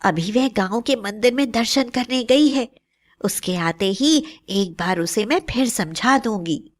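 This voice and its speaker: A woman's voice: fairly high pitch, expressive, unhurried. A woman playing a middle-aged village wife and mother in a cartoon story, speaking reassuringly.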